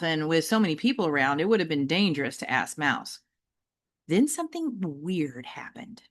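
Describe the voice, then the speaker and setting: A woman reading a children's novel aloud like an audiobook, with deliberate, emphatic and varied phrasing. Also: low voice. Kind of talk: expressive audiobook-style storytelling for children.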